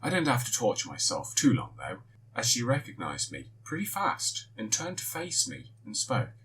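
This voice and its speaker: Lively-voiced man reading a story. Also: mid-range voice, normal speed, a little hiss or hum, low-quality recording. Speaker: fan fiction reader. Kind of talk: reading a first-person story aloud.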